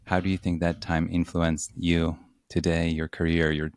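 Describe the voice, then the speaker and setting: A very deep, gravelly male voice with a Polish accent, hosting an interview podcast. He talks in a flat, even line and asks open questions at an unhurried pace. The sound is dry and close. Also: no room sound. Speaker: podcast host.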